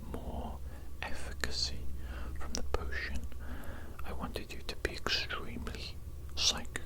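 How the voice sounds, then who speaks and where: Brief: ASMR host as a gleefully evil potion doctor, all soft close whisper, rambling. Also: unhurried, rough recording. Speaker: ASMR roleplayer.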